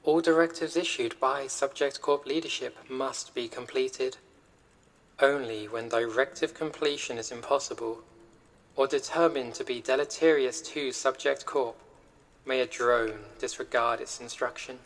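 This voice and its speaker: A man voicing an impassive corporate AI in an audio roleplay, reciting directives in a formal, measured, impersonal way. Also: medium pitch, steady tone, a bit bright, low-quality recording. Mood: impassive, instructive. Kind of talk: scripted audio roleplay announcement.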